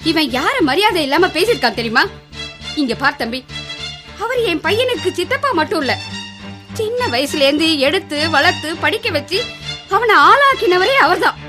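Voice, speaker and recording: A high-voiced, indignant mother in a radio drama. She scolds with scorn and a sharp tongue, over a clearly audible music bed. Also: sing-song, normal speed. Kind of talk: Tamil radio drama acting.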